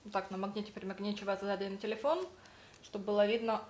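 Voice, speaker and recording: A woman's voice: medium pitch, little pitch movement, quick pace, a little mellow, slight background noise. A young woman talking casually to her viewers as a vlogger, telling everyday stories and acting out the dialogue she quotes. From Russian-speaking, living in Spain. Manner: chatty, storytelling, acts out quoted dialogue. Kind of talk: casual vlog narration.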